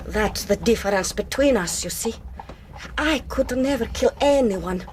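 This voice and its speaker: An actress playing a defiant, coolly bitter young sister in a radio drama, measured and quietly scornful. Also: female voice, on the high side, expressive, a little hiss or hum. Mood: calm, principled. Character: defiant, coolly bitter Greek woman avenging her brother.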